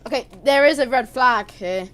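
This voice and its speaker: A woman commentating a live sim racing stream. She rambles quickly in casual chat and breaks into excited shouting at crashes. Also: a little high, a crowd in the background. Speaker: livestream race commentator. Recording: steady background noise and hum.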